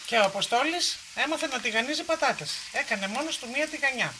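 A woman's voice: high-pitched, animated. A middle-aged woman hosting a home cooking demonstration in her kitchen, explaining each step in a homely, encouraging way. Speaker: cooking show host. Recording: kitchen noise behind voice.

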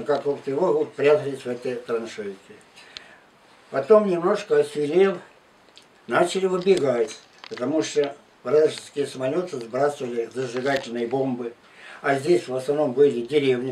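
An elderly man in his nineties tells his life story at an unhurried, halting pace, often restarting a phrase. His voice sits at an ordinary male pitch, picked up a little away from the mic with faint room noise behind it. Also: darkish tone, recorded from a distance, poor audio. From Tula region and Moscow outskirts, Russia. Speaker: war veteran interviewee. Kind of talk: recounting life story in an interview. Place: living room at home, indoors.

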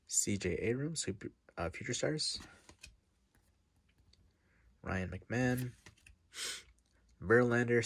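A middle-aged man with a stuffy, blocked-up nose and a very low, gravelly voice. He talks in a relaxed, chatty way, reading out names and slipping into a near-whisper now and then. His tone is husky rather than clear. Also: no room sound. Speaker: card-opening vlogger. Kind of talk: casual commentary while opening card packs. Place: desk in front of a wall of card boxes.

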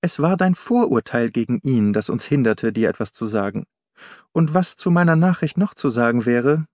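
A man's voice: mid-range voice, expressive, moderate pace, a little mellow, dry recording, studio quality, close to an everyday voice. A man voicing a composed, strong-willed young woman in an audiobook, forthright and proud. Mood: reproachful. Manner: determined, forthright.

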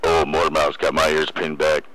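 A man's voice: rumbling low, completely flat delivery, normal speed, heavy background noise. A man bantering casually in slang as a CB radio operator, heard over the radio. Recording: CB radio transmission.

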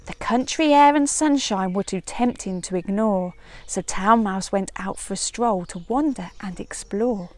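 A middle-aged woman reading a children's storybook aloud outdoors in an expressive, measured teacher's way. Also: medium pitch, no echo. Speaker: early years teacher. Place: outdoors in a leafy woodland garden.

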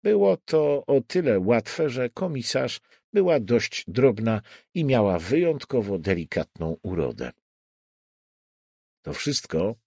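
A man's voice: lowish voice, a little mellow, no echo, studio quality. A man reading a crime novel as an audiobook narrator, in scripted narration.

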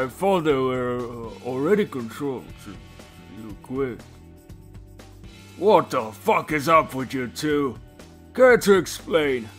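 A man voicing a gruff, teasing veteran biker in his fifties, blunt and familiar in a character demo. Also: fairly high pitch.

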